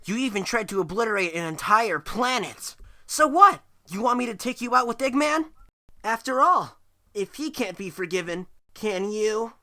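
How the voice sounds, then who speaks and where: A woman's voice: mid-range voice, expressive, normal speed, sharp tone. A woman voicing a cocky, easygoing young male hedgehog hero in a cartoon comic dub, teasing and breezy. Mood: challenging, frank.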